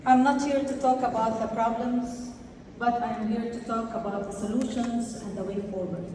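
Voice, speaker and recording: A woman from Lebanon giving a prepared speech at a conference, earnest and measured, slowing down to stress key words. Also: on the high side, fairly even intonation, darkish tone. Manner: earnest, measured, slows for emphasis. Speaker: party delegate giving a congress speech. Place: large conference hall. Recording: echoey hall, distant mic, faint noise.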